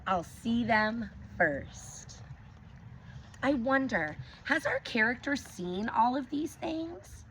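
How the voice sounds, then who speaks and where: A woman teacher reading a picture book aloud to young children, expressive, stopping to ask them questions. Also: fairly high pitch, slight background noise, low-quality recording.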